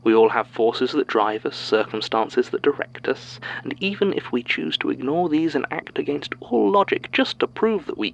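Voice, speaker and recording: An actor playing a brooding man who muses in a measured, ominous, philosophical way in an audio drama monologue. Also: medium pitch, vocal fry, fairly bright tone, a little hiss or hum, rough recording. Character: brooding male character musing on fate and powerlessness.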